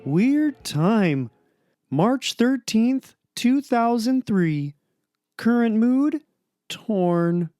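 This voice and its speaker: A woman's voice: on the high side, expressive, drawn-out words, warm tone, no room sound, faint music behind. A woman playing a resigned, self-deprecating cartoon blogger, giving a wry, downcast dramatic reading of a diary. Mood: moody.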